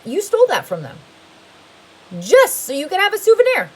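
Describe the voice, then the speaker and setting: A stern storybook judge delivers an emphatic, scolding rebuke, as a woman reading aloud puts him on. The voice jumps sharply higher and much louder than the reader's own, hammering each word with indignation. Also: huge pitch swings, normal speed, exaggerated voice, low-quality recording.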